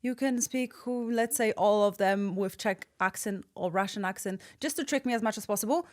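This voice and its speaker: A woman's voice: medium pitch, fairly quick. A young woman hosting a podcast interview, asking curious, friendly questions in a casual tone. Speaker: podcast host.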